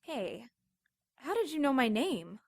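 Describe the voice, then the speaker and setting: An actress playing a chatty, casually sarcastic young motel desk clerk in an audio drama. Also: female voice, high-pitched, very animated, slow pace, gravelly, full character voice. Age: young adult. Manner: casual, sarcastic, then terrified.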